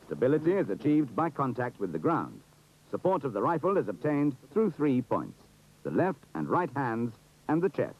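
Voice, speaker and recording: A British man giving formal, precise, authoritative scripted narration for an instructional film. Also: mid-range voice. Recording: dull, muffled 1970s film soundtrack.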